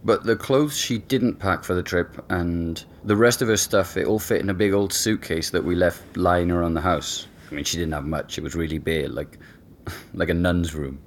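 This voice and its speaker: Laid-back reflective man, very low voice, hesitant, conversational drama, faint background rumble. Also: normal speed. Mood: reflective, casual. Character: casual, reflective man recalling a vanished flatmate. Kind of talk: conversational audio drama acting.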